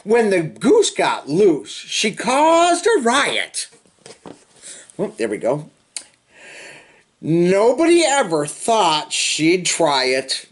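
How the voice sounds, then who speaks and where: A man reading a children's picture book aloud in an expressive, sing-song way, with drawn-out phrasing and chatty asides. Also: sits high. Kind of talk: animated storybook read-aloud with chatty asides.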